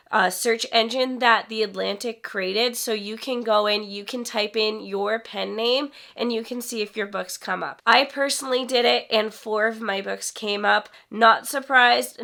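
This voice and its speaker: Congested woman, bright fired-up vlog voice. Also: a little high. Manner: animated and indignant, talks straight to viewers. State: congested, recovering from a cold. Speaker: author and YouTube host. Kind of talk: direct-to-camera vlog commentary.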